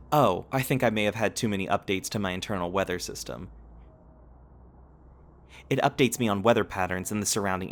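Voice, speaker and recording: A man playing a polite, curious companion robot in an ASMR roleplay, speaking formally and precisely with a literal-minded courtesy. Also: fairly low pitch. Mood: helpful, gentle. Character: polite, curious, eager-to-please male humanoid companion robot. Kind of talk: ASMR roleplay audio drama acting.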